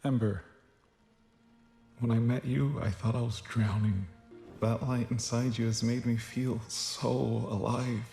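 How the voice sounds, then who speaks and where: An actor playing a lovestruck, sentimental young male water creature in an animated film, speaking with earnest emotion. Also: mid-range voice, a little slow, gravelly. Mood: tender, heartfelt. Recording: roomy, reverberant film audio with music.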